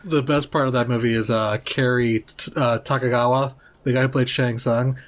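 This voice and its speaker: A man's voice: mid-range voice, steady tone, normal speed. A man chatting casually as a podcast panellist, giving his opinions in informal, conversational phrasing. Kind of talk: casual chat.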